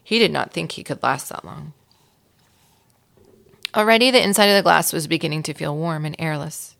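A woman reading a children's story aloud in an expressive storytelling style, with a creaky voice. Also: deep voice, moderate pace. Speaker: volunteer reader of a children's book.

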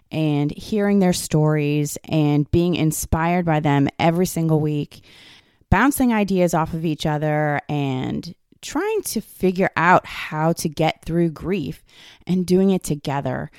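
A woman hosting her own podcast, talking loosely and conversationally while hoarse and a little raspy from a cold. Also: low voice, darkish tone, dry recording, clean studio sound. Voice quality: slightly raspy. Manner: loose, conversational, many "like" and "kind of". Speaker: podcast host. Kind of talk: solo podcast talk.

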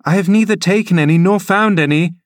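A man reading an audiobook, voicing a headstrong, eager teenage girl in a raised, bright voice. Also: high-pitched, brisk, no echo, studio quality, voice pushed up, lightly acted. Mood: indignant.

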